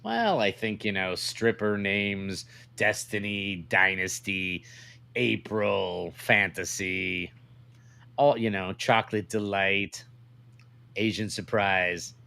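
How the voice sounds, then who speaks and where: Man, lowish voice, podcast co-host, casual crude banter, joking questions. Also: slow, creaky, bright, forceful tone.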